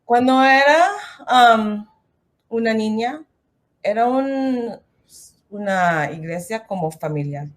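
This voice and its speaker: Slow, hesitant woman from the Philippines. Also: on the high side, lively intonation. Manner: hesitant, many fillers, slips into English. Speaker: guest. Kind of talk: nervous testimony in halting Spanish.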